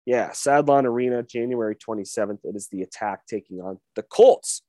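A man's voice: mid-range voice, a little fast, warm tone, dry recording, studio quality. A man co-hosting a sports podcast, running through game details in a casual chat. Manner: rundown of game details, hedging fillers. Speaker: podcast co-host.

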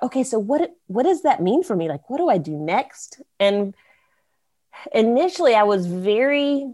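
A woman's voice: medium pitch, a little mellow. Bubbly woman. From the Carolinas. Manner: bubbly, laughing, rambling. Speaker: songwriter guest. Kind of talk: interview storytelling.

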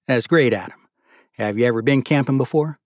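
A man's voice: mid-range voice, a little fast, a little mellow, dry recording, clean studio sound, natural voice. Man as a kindly, plain-spoken older man. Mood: kindly, encouraging.

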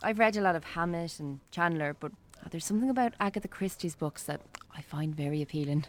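A woman acting as a composed, bookish Irish shop worker. She has a slightly low voice and a friendly, natural delivery suited to a radio play. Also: expressive, a little fast, a little hiss or hum.

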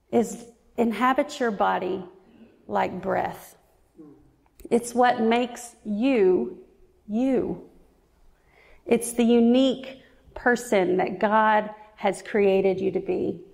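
A woman in her forties preaching a sermon, earnest and reflective, slowing down to stress key words. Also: mid-range voice, gravelly, darkish tone. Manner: earnest, reflective, slows down for emphasis. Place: church. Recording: reverberant church hall.

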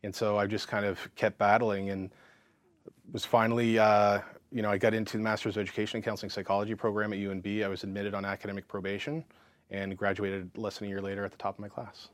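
A man in his thirties tells his story as an interview guest, talking in a frank, lively way. His voice sits low, and the sound is dry and close. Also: fast, no echo. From Canada. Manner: frank and lively, full of fillers and restarts. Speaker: counselor and psychotherapist, interview guest.

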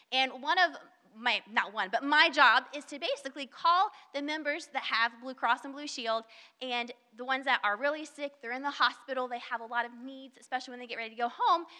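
A woman's voice: sits high, pushed and bright, big-room sound. A young woman with a Southern US accent, preaching an earnest, conversational sermon at a church service and reading scripture. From Southern United States. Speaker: guest speaker at a church service. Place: church sanctuary.

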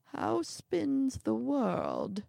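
A woman voicing a sinister, irascible old male villain in an audiobook, with a slow, grumbling, contemptuous delivery. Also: sits high, huge pitch swings, creaky, mellow, no room sound, clean studio sound, voice pushed up, heavily acted. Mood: commanding.

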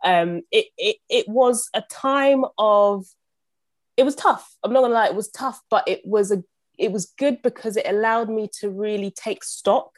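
Chatty woman, bright laughs. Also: mid-range voice, moderate pace, no room sound, studio quality. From born in Uganda, raised in Southeast London. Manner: animated and chatty, laughs often, many restarts. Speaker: podcast guest, property investor. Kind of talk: casual interview chat.

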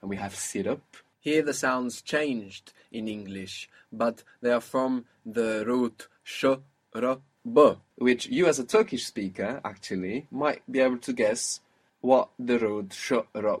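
Husky-voiced male language teacher. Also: mid-range voice. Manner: patient, explanatory, spells out sounds slowly. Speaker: Arabic teacher. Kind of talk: one-on-one language lesson.

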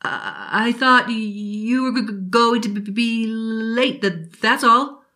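A woman's voice: a little high, fairly even intonation, takes it slowly, bright, forceful tone, pitched above the performer's own voice. A woman voicing a timid young boy who stutters. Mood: scared. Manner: stutters, breathless and eager.

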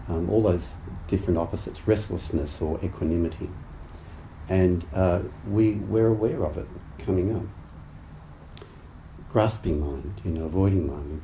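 A man with a croaky voice giving a dharma talk as a meditation teacher, speaking haltingly and thoughtfully with many fillers and restarts. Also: low-pitched, warm tone. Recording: roomy echo, faint background noise.

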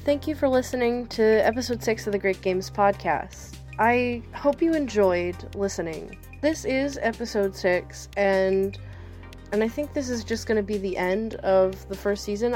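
Young woman podcast host, highish voice, casual chatty delivery, bit of music. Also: measured pace, darkish tone.

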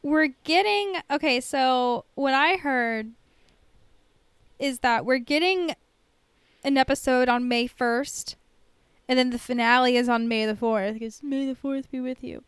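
A woman's voice: high voice, a little slow, smooth, no room sound. A woman chatting casually as a podcast co-host, in an informal, hedging way peppered with "like". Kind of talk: casual podcast chat about TV shows.

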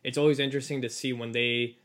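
Man, podcast host, casual interview, curious relaxed questions, mid pitch. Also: quick pace.

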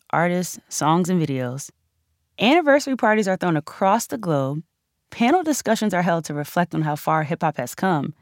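A woman narrates a scripted audiobook with the ease of someone telling a story to friends. Her voice sits slightly low but moves with highly varied intonation, leaning into key phrases. The recording is close and very dry. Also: dry recording. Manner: conversational, emphatic storytelling with casual slang. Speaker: author narrating own audiobook.

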